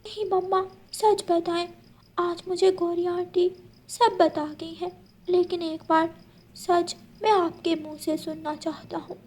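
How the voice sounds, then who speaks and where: A woman's voice: extremely high pitch, little pitch movement, a little slow, a little mellow, voice pushed up. A woman voicing an earnest young boy bird in a children's cartoon, with a pleading, childlike manner. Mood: hurt, pleading. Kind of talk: Hindi children's cartoon voice acting.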